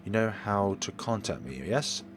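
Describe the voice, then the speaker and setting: A man's voice: fairly low pitch, lively intonation, a little slow, faint music behind. A man voicing an enthusiastic, genteel wealthy woman from a horror visual novel, with gushing, polite phrasing. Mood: brisk, polite.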